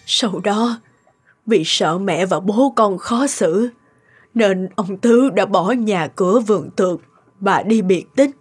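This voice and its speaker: A woman voicing a gentle, sorrowful cartoon mother who speaks earnestly and apologetically. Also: mid-range voice, takes it slowly, husky. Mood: regretful. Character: gentle, sorrowful mother explaining to her child. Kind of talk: Vietnamese cartoon voice acting. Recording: music behind the voice.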